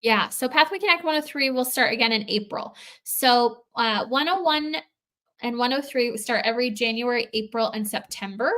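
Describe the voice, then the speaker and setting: A woman's voice: a little high, moderate pace, a bit bright. A woman on a live stream answering viewers' questions in a patient, explanatory way, with frequent filler words. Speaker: program staff answering questions.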